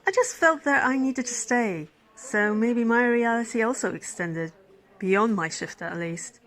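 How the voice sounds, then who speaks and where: A woman's voice: a little high, measured pace, a bit bright. A woman playing a caring, smitten emergency physician in an intimate ASMR roleplay, speaking tenderly and soothingly. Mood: shy, affectionate. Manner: tender, soothing, flustered, dropping into breathy whispers. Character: caring, smitten female emergency physician tending a crash patient. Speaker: roleplay voice performer. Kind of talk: ASMR roleplay acting, intimate one-sided dialogue.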